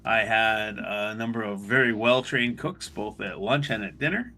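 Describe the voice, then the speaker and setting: A man's voice: lowish voice, normal speed, bright, forceful tone, faint music behind. A middle-aged man hosting a podcast alone, telling his own story in a candid, self-deprecating, conversational way. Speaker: podcast host, chef. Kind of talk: casual solo podcast storytelling.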